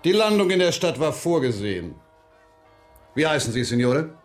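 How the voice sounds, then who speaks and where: An actor playing an unyielding official in a radio drama, formal and unmoved. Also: male voice, a little high, big pitch swings, normal speed, faint music behind. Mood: cool, dismissive.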